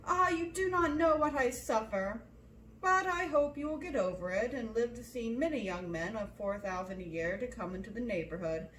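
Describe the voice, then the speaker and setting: A woman's voice: a little high, lively intonation, normal speed, fairly bright tone, roomy sound, a little hiss or hum, low-quality recording. A woman voicing a nervous, fretful middle-aged mother who complains and exclaims insistently in a storybook reading. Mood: aggrieved.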